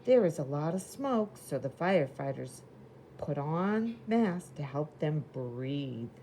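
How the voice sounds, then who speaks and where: A woman voicing a proud, eager little red fire engine in a children's storybook, with simple, expressive delivery. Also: low voice, slow pace, slight background noise, full character voice. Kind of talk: children's storybook character narration.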